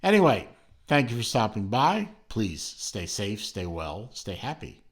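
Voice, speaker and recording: A man's voice: fairly low pitch, very animated, unhurried, breathy edge. A man hosting his own channel, reviewing a watch in a rambling, casual way with lots of fillers and restarts, filming at home from behind the camera.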